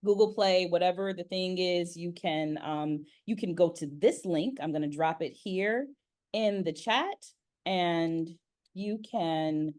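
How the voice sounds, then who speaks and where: A woman hosting a casual interview, chatting in an animated, expressive way. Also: fairly low pitch, slow. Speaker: interview host. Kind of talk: casual chat.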